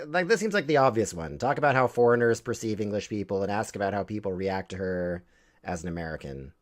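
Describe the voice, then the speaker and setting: Guy streaming a game live, jumps all over in pitch, reads options out, sarcastic.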